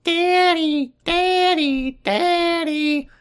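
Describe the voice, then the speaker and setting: A man's voice: very high voice, extremely slow, a bit bright, voice pushed up, full character voice. A man voicing a small, worried young girl with a thin, plaintive, childlike voice in an amateur story reading. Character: small worried young girl asking after her parents. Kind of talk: amateur fan fiction read-aloud.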